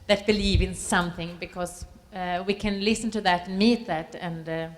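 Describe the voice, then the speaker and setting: A woman's voice: on the low side, steady tone, moderate pace. Woman commenting, hesitant. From Sweden. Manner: hesitant, searching for words with many fillers. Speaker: audience participant. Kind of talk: spontaneous comment at a workshop.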